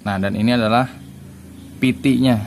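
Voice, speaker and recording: A man giving a casual hands-on unboxing review, informal and halting as he searches for words. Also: medium pitch, steady tone, darkish tone, slight background noise. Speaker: product reviewer.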